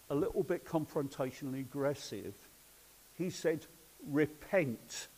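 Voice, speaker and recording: A man in an informal sermon as pastor, chatty and halting with many ums and uhs. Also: fairly high pitch, a little mellow. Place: church hall. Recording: long hall reverb.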